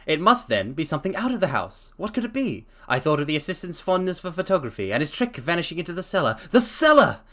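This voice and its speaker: A man's voice: fairly high pitch, very animated, quick pace, few pauses, a bit bright. A man voicing a brilliant, languid detective explaining his deductions in a theatrical storybook reading. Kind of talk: dramatic reading.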